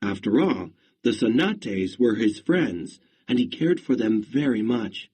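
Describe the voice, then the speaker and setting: Brief: male read-along narrator, expressive, husky, slow pace. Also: lowish voice. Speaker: story narrator.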